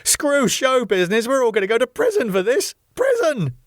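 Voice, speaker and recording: Man doing a frantic middle-aged club owner, high and shrieking, very dry sound. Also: wildly swooping pitch, moderate pace, no room sound, heavily acted. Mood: hysterical. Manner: shrill, hysterical outbursts. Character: panicky middle-aged male ballroom owner.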